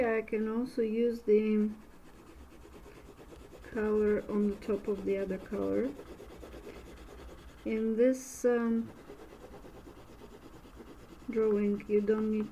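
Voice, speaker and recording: Slow, mellow woman with a Bulgarian accent. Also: mid-range voice, level tone, slight background noise, low-quality recording. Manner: slow, halting, searching for words. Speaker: art instructor. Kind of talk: casual art demo tutorial.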